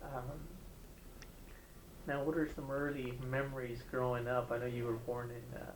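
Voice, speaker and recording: A man interviewing a state representative for an oral history project, asking questions in a halting way, with many fillers and restarts. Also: mid-range voice, level tone. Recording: echoey room with background noise.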